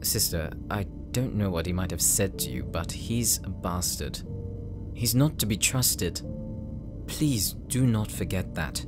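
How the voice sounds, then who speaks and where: A man's voice: low-pitched, lively intonation, unhurried. A man playing a serious, wary, dutiful brother in an anime-style audio drama, speaking earnestly and formally. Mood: wary, concerned. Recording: prominent music behind the voice.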